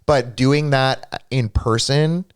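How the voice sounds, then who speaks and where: A man's voice: medium pitch, steady tone, smooth, dry recording, clean studio sound. A man whose first language is Greek, co-hosting a casual live stream and chatting with viewers. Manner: chatty, quick asides to chat. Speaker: co-host.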